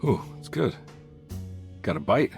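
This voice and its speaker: Weary private detective for a horror game: low, gruff, clipped, music underneath. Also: male voice, big pitch swings, measured pace, full character voice. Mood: guarded, probing. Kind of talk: cinematic video game voice acting. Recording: music behind the voice.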